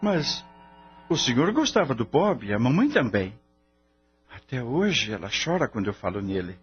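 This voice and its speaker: A man's voice: mid-range voice, sing-song, moderate pace, close to an everyday voice. A man acting in a radio drama as an earnest, anguished grown son, speaking with emotional, pleading delivery. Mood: shocked, hurt. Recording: dull lo-fi radio drama, faint music.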